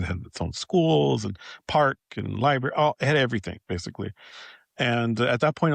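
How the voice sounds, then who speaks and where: A man's voice: fairly low pitch, gravelly, dry recording. A man from Los Angeles hosting a podcast, asking a friend long, thoughtful questions. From Los Angeles, United States. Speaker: podcast host interviewing a friend. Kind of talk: reflective conversational interview.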